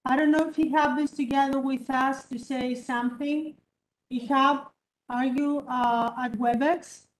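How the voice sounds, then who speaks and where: High-voiced woman moderator in an echoey room. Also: fairly even intonation, measured pace. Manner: encouraging, with frequent fillers. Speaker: event moderator. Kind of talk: hosting an academic award ceremony. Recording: echoey room with faint rumble.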